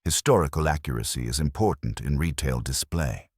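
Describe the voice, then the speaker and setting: A man voicing a nervous, fastidious shop window dresser in a detective story, precise and deferential. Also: a bass voice, lively intonation, breathy edge, dry recording, clean studio sound, full character voice. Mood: nervous, defensive.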